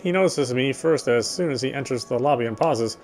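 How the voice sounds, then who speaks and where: A man's voice: mid-range voice, brisk. A man narrating a visual novel aloud, sometimes stumbling over a line and repeating words. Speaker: game streamer reading a visual novel aloud. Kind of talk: narration read aloud with side comments.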